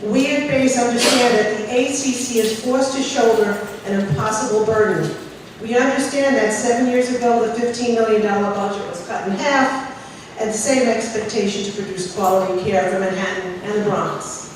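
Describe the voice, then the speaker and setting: A woman's voice: a little high, fairly even intonation, moderate pace. A woman, an animal rescuer, reading a prepared public statement in an earnest, urgent way, recorded in a large meeting hall. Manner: earnest, urgent, pressing with figures. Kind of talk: prepared statement read aloud. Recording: echoey hall from far off, faint noise.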